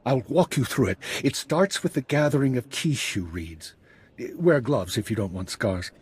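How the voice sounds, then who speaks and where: An eccentric Jedi master from a video game. His voice is very husky and rough, swinging animatedly up and down as he mentors with theatrical flair and the weight of someone who sees what is coming. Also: mid-range voice, expressive, normal speed. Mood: calm, guiding. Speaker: Jedi master guiding a student. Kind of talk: scripted video game dialogue.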